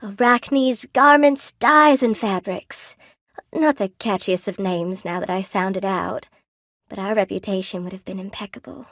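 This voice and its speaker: A woman's voice: fairly high pitch, no echo, clean studio sound. An actress voicing a friendly, wry spider weaver woman in a video game, chatty and coy, with a folksy, lightly theatrical delivery. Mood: wistful pride. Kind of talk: video game character dialogue. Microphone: close dry studio microphone.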